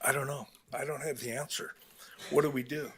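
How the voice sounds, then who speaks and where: A man giving halting, candid public comment at a city council meeting, with restarts and the odd throat clear. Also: medium pitch, steady tone, fairly bright tone, slight background noise.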